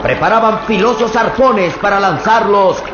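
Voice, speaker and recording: A male narrator tells a radio adventure with tense, suspense-building delivery. His voice sits fairly high. The recording is roomy and dull, with loud hiss throughout. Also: moderate pace. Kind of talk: dramatic radio serial narration. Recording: echoey, muffled old radio with loud hiss.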